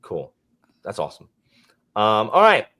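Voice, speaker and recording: A man's voice: lowish voice, huge pitch swings, a little slow, sharp tone. A man hosting a casual book discussion, asking questions and passing the turn to others. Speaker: host of a book discussion panel. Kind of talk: casual chat, moderating.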